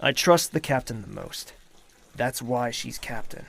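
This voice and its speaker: An actor playing a weary, suspicious male royal in an audio drama, speaking and narrating in a measured, brooding way. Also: mid-range voice, vocal fry, a bit bright. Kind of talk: audio drama acting and first-person narration.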